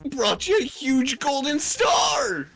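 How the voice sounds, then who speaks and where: A woman voicing excitable, plucky cartoon sidekicks in a story read-along. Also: sits high, slow, faint music behind, heavily acted. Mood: excited. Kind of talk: group fan-fiction read-along with character voices.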